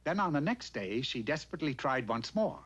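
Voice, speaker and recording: A man giving wry, scripted fairy-tale narration in a slightly husky voice. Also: on the high side, very animated, a little fast, a bit bright, highs cut off.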